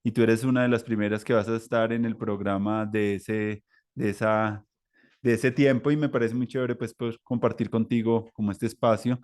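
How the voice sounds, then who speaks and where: A man's voice: fairly low pitch, little pitch movement, moderate pace, a little mellow. A man hosting an interview podcast, talking in a rambling way with many fillers and restarts. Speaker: podcast host. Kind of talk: conversational interview.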